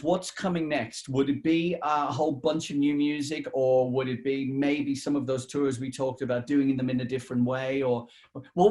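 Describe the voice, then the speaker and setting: Male radio interviewer, slightly high voice, informal and chatty, rambles through his questions. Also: darkish tone.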